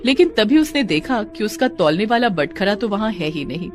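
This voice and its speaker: A woman narrating a cartoon story in an expressive storytelling manner. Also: mid-range voice, moderate pace. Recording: background music under voice.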